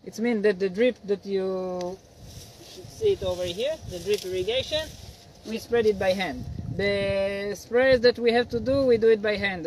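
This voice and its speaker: A man in his forties, an agronomist, explaining farm work patiently in halting, non-native English beside a field. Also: high voice, a little hiss or hum, low-quality recording. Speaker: agronomist guiding a farm tour. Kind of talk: outdoor field lecture. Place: outdoors by a cornfield.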